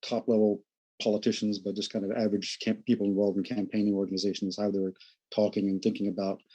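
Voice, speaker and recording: Male anthropologist panelist, mellow lowish voice, loose and chatty. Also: little pitch movement, fast talker, breathy edge. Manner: casual, rambling with fillers. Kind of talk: academic panel talk.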